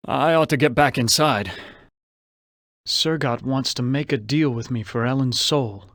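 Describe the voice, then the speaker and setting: A dry, close recording of a man acting a suave male adventurer from a gothic horror game. He speaks in a mid-range pitch with refined, theatrical delivery, slightly haughty and vain, with an anguished streak. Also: measured pace, fairly bright tone, dry recording, lightly acted. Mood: somber, bargaining.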